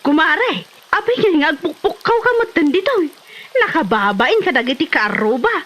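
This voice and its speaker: A woman's voice: high-pitched, very animated, normal speed, fairly bright tone. An actress playing a chatty Filipino village woman who exclaims and protests in a comedy drama. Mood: protesting. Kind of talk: Ilocano comedy drama acting.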